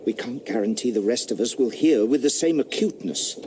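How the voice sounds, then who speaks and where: An actor playing a polite, gentle eighteenth-century country squire in a radio drama, speaking courteously and a little hesitantly. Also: male voice, medium pitch, lively intonation, brisk, slight background noise, natural voice. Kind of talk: period radio drama acting.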